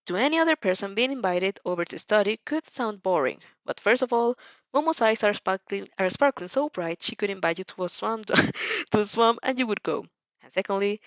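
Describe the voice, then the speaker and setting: A woman reading fan fiction aloud expressively, in an animated amateur way, stumbling over and repeating words. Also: medium pitch, dry recording, dull audio.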